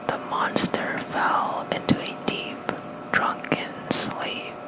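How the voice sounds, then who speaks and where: ASMR host for sleep content: fully whispered, unhurried reading, soft and casual, close on the mic. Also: low-quality recording. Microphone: close fluffy-covered microphone.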